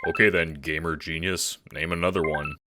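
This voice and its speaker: A man voicing a laid-back, sarcastic teenage boy in an audio drama, deadpan and slangy. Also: a bass voice, moderate pace, slight background noise.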